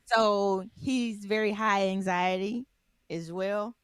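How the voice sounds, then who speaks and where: A woman's voice: medium pitch, steady tone, slow, clean tone, dry recording. Woman explaining things with lots of ums. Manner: rambling, self-correcting storytelling with many ums. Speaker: podcast co-host. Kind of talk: casual chat.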